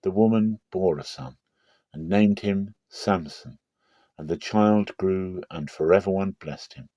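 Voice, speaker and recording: A man narrating a dramatic reading of scripture in a solemn, deliberate and expressive storytelling style. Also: sits low, dry recording.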